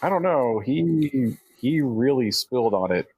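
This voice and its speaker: Man playing a blunt, husky bluffing crook. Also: medium pitch, animated, measured pace, a little mellow. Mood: needling.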